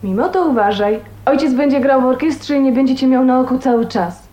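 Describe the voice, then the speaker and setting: An actress playing a caring, cautious young friend in a radio drama, gentle and concerned. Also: female voice, high-pitched, brisk, reverberant, lightly acted.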